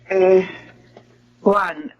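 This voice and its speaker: A woman playing a gentle, pleading middle-aged mother in a drama, with a Filipino accent, in a muffled, noisy recording. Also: mid-range voice, fairly even intonation, very slow. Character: gentle, pleading older mother of grown children.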